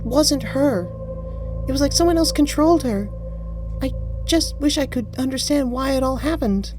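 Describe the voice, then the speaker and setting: A man voicing a traumatized young girl, sad and bewildered, speaking haltingly and plaintively in a horror story. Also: very high-pitched, voice pushed up. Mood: sad bewilderment. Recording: music behind the voice.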